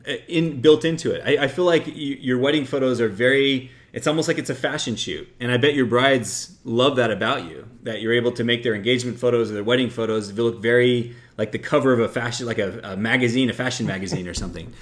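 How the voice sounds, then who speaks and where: Fast-talking effusive male host. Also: mid-range voice. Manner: effusive, stammering restarts, lots of "like". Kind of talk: enthusiastic interview chat.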